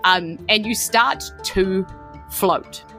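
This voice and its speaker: A woman's voice: medium pitch, drawn-out words, sharp tone, faint music behind. A woman with a New Zealand accent, running a tabletop game as game master in an informal, conversational storytelling style. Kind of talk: improvised tabletop game narration.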